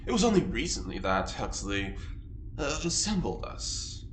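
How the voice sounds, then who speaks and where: A man's voice: mid-range voice, sing-song, a little slow, a bit bright. A man playing a wry, wordy, teasing fellow in an audio drama, articulate and theatrical. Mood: wry, careful. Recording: echoey room, faint noise.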